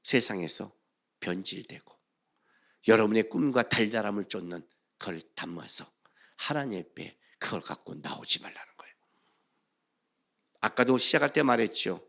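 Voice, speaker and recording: A man preaching a sermon as a pastor, reading scripture and questioning the congregation, swinging between loud emphasis and hushed phrases. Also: fairly low pitch, normal speed, vocal fry, pauses often.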